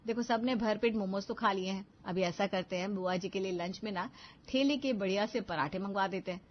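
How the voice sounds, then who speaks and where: A crafty young cartoon mother, played by a woman, coaxing in a medium-pitched voice. The recording sounds slightly dull. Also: brisk, natural voice. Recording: dull lo-fi audio.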